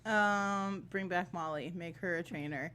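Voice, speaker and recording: A woman's voice: fairly low pitch, a little slow, a bit bright. A woman co-hosting a casual panel chat about a film, speaking in an informal, slangy way. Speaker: talk show co-host.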